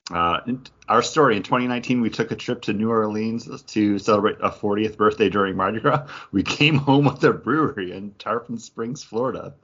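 A man's voice: lowish voice, a little fast, hardly any pauses, a bit bright, breathy edge, muffled recording. A man hosting a podcast, giving a casual review in a conversational way with slangy asides. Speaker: podcast host.

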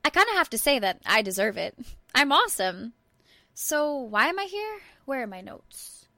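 Woman playing a bright, vain podcast promoter. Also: high voice, sing-song, normal speed, no echo, clean studio sound, exaggerated voice. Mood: smug, flippant. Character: vain, flippant female voice actor plugging a podcast.

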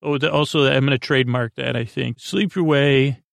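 A man hosts a bedtime podcast in a middling-pitched voice full of creak. He meanders, halts and repeats himself in a whimsical, self-deprecating way. The sound is dry, with no room around it. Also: steady tone, moderate pace, no echo. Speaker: podcast host. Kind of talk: rambling, unscripted bedtime podcast monologue.

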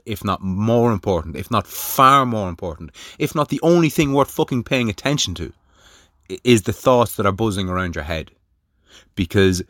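A man's voice: lowish voice, fairly bright tone, dry recording, clean studio sound. A man, talking alone on his podcast in a blunt way, swearing freely and firing rhetorical questions. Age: 30s. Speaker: podcast host. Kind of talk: solo monologue.

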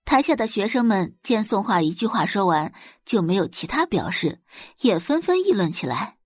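A woman narrating a dramatic audio novel in an expressive storytelling style. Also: mid-range voice, moderate pace, slightly rough, no room sound.

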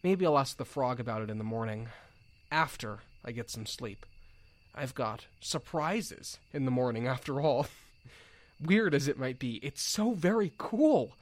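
A man's voice: mid-range voice, lively intonation, measured pace, close to an everyday voice. A man playing a nerdy, earnest field researcher rambling into an audio log in a drama, with insects behind him. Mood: wistful, musing. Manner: rambling, hesitant, exclaiming.

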